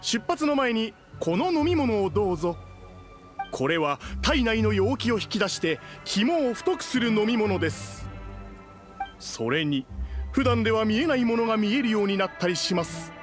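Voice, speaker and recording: High, jovial, showy event host. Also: male voice, sing-song, normal speed, soft background music. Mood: cheery, inviting. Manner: salesman-like, polite, theatrical. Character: jovial, persuasive male festival host pushing drinks. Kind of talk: Japanese game voice acting.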